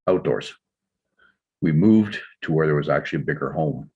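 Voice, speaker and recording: A male actor plays an older father with a very deep, husky voice on a slightly dull recording. He is reserved and defensive, explaining himself in measured phrases in a drama. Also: animated, full character voice. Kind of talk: scripted drama table read. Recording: dull, slightly muffled microphone sound.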